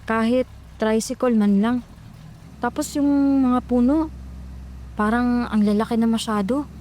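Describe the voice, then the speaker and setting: A woman's voice: fairly high pitch, steady tone, unhurried, a little mellow, lightly acted. A watchful, worried young woman, played by a woman, speaking in a raised voice. Light noise and night insects are heard behind her in this horror audio story. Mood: uneasy. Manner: worried, noticing.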